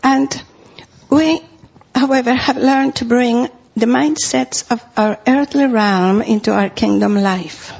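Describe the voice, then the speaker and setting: A woman's voice: fairly high pitch, slow, gravelly, a little mellow, breathy edge, muffled recording. A middle-aged woman from Costa Rica with a Spanish accent, preaching a sermon in an earnest way and repeating phrases for emphasis.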